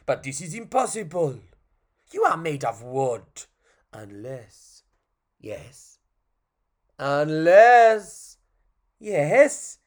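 A man's voice: on the high side, big pitch swings, measured pace, slightly rough, exaggerated voice. A man voicing a grumpy, theatrical clown puppet with a put-on French accent for a children's story. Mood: dramatic, scheming.

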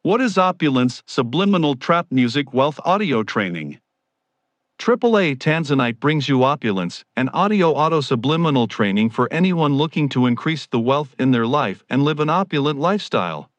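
A man reads scripted promotional copy in a medium-pitched voice. His delivery is formal and grandiose, like a salesman presenting his own products. The sound is dry, with no room around the voice. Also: sing-song, normal speed, no room sound, highs cut off.

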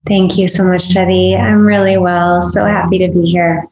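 A woman's voice: fairly low pitch, level tone, moderate pace, darkish tone, echoey room, highs cut off. A woman from Michigan, a guest on a podcast interview, speaking in a thoughtful way and searching for words. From Michigan, USA.

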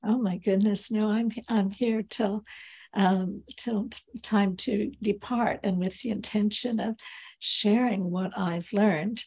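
A woman speaking slowly and lyrically in a reflective group conversation, her sentences trailing off into soft, whispered endings. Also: medium pitch, steady tone, breathy edge. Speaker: speaker sharing her work on language.

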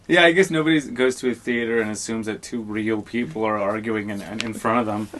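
A young man co-hosting a podcast, dropping dry, deadpan one-liners in improvised comedy banter. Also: lowish voice, fairly quick, a little hiss or hum. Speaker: podcast co-host.

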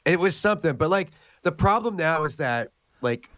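A man with a hoarse voice from a cold, co-hosting a podcast in casual chat with many fillers. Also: on the high side, lively intonation, moderate pace, a bit bright, no echo. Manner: chatty, joking, many "like" fillers. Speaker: podcast co-host.

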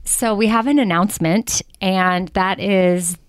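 A woman in her forties hosting a podcast, chatting casually, laughing often and slowing down when she reads something aloud. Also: medium pitch, fairly even intonation, clear, resonant tone, no room sound. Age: 40s. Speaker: podcast host.

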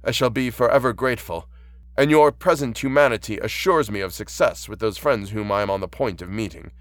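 A man's voice: fairly low pitch, brisk, no echo, close to an everyday voice. A man voicing an outcast monster in an audiobook, anguished and pleading, with formal, fervent, eloquent speech. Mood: grateful.

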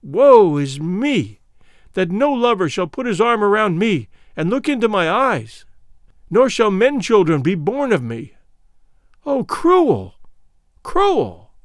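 A male reader plays a gentle young maiden in an adventure storybook, facing doom. The voice is lifted high, plaintive and trembling, rising and falling with anguish through slow archaic phrases. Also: wildly swooping pitch, no echo, clean studio sound, exaggerated voice. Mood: anguished lament. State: trembling, lamenting.

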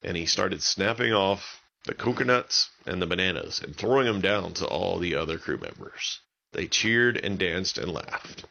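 A man's voice: sits low, lively intonation, moderate pace, vocal fry, bright, forceful tone, dull audio. A man telling an improvised bedtime story for children, using simple, repetitive wording. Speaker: children's story podcast narrator.

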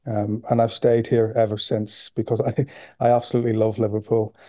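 A man with a Northern Irish accent speaks in a very dark, mellow tone, keeping his pitch flat and even. His delivery is relaxed, with frequent pauses and repeated words. The recording is dry and close. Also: no room sound. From Belfast, Northern Ireland. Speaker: guest, sports nutrition professor. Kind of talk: podcast interview.

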